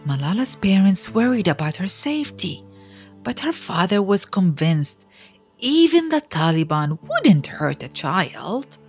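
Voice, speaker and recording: A woman hosting a storytelling podcast, reading scripted narration for children in an expressive, deliberate way with dramatic pauses. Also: medium pitch, soft background music.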